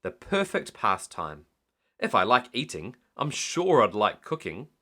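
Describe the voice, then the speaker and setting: A man's voice: mid-range voice, very animated, unhurried, bright, forceful tone, full character voice. A man voicing a stubborn, blustery older male lighthouse keeper in a storybook, exclaiming and grumbling. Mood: keen, confident.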